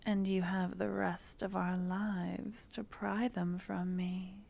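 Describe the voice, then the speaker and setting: A woman playing a young female court necromancer who is tenderly affectionate and playful with her lover, speaking softly and often in a whisper for an ASMR roleplay. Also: lowish voice, little pitch movement, takes it slowly, gravelly, exaggerated voice. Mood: tender, teasing. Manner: soft, intimate, often whispered.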